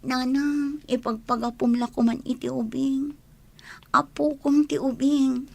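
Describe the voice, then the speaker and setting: A woman's voice: high voice, steady tone, unhurried, vocal fry, darkish tone, heavily acted. A young woman with an Ilocano accent acting in a radio drama as a pleading yet stubborn unwed young mother. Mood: subdued pleading. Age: young adult. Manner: emotional, plaintive.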